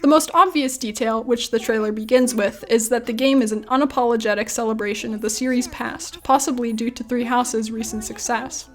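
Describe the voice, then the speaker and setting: High-voiced woman giving video commentary. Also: steady tone, brisk, hardly any pauses. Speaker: video essayist, YouTube host.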